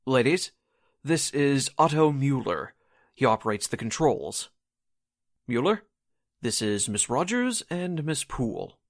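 A man acts out a genial station host from a 1940s radio play. His pitch moves about in a lively way, and his manner is brisk and welcoming. The sound is close and dry. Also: no room sound. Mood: genial, businesslike. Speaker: actor in an amateur radio drama re-creation.

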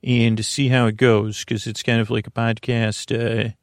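A man's voice: level tone, moderate pace, dry recording, studio quality. A man hosting a bedtime podcast, rambling in a meandering, easygoing way with lots of hesitations and self-corrections. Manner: low-key, easygoing. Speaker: podcast host.

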